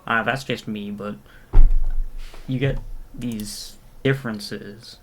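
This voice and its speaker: A man reviews in a casual, analytical way. His voice is fairly low and stays quite flat in pitch. There is faint noise and some keyboard clatter in the recording. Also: slow. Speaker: reviewer, video commentator.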